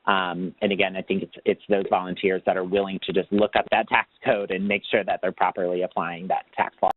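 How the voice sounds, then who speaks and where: A man speaking informally as a webinar panelist in halting phrases with repeated words, over a tinny phone line. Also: low voice, level tone. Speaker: tax program manager speaking as panelist. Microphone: telephone or conference call line.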